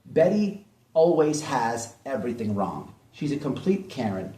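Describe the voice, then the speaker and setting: A man's voice: medium pitch, big pitch swings, measured pace, mellow. A man giving opinionated drama commentary in a blunt, mocking way, hammering points home with repetition. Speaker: online commentary host. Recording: echoey room, distant mic.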